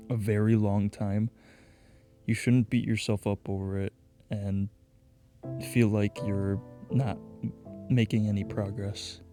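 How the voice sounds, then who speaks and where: A man in his twenties giving an earnest, reflective voice-over. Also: fairly low pitch. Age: 20s. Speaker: vlogger.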